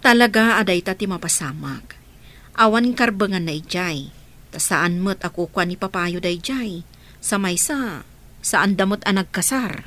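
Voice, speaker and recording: A woman's voice: mid-range voice, expressive, moderate pace, a bit bright, close to an everyday voice. A woman playing a firm, practical grown daughter in a radio drama, earnest and reassuring.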